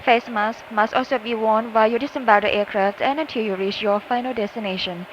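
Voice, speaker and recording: A woman's voice: steady tone, brisk. A woman working as a flight attendant reads a scripted inflight announcement over an aircraft cabin PA. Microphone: cabin PA system heard through speakers. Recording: muffled cabin PA with loud engine noise. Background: loud aircraft cabin rumble.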